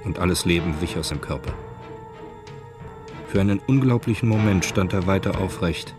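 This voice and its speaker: Crime drama narrator: male actor, low voice, sober, terse, dramatic, music behind. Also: normal speed, lightly acted. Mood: tense, grim. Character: sober narrator of a hard-boiled crime story. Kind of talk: scripted radio play narration.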